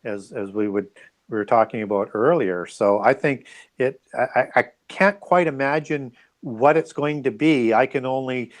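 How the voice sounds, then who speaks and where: A man speaking as an interview guest, explaining his views in a conversational, musing way. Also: medium pitch.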